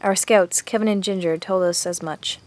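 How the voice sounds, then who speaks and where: A woman's voice: fairly low pitch, steady tone, normal speed, a little mellow, lightly acted. An actress playing an earnest teenage girl in a zombie audio drama, confiding and reflective as she narrates her diary. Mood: tense, urgent. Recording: music under the voice. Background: music.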